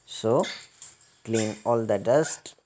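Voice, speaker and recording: A man giving step-by-step hands-on repair instructions in a halting, repetitive way. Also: fairly low pitch, mellow, slight background noise. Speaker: repair tutorial presenter.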